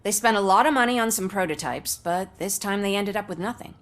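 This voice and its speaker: A woman's voice: mid-range voice, fairly quick, close to an everyday voice. A woman playing a breezy, cynical commercial actress in a video game, talking in a wry conversational way. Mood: wry, casual. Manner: wry, casual.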